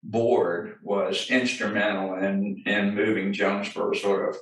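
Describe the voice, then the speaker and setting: Older man, deep, hesitant panel guest, distant mic in a reverberant room. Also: flat delivery. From Arlington, Virginia. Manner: halting, many fillers and restarts. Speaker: guest, former town administrator. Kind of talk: casual panel conversation. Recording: echoey room from far off.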